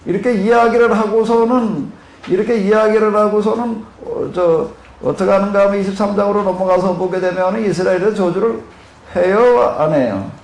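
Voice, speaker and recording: Gravelly pastor teaching in an echoey room. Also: male voice, sits high, a little mellow. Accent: Korean Jeolla dialect. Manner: rambling, many fillers, asks the audience questions. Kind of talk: Bible seminar lecture. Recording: echoey room with faint noise.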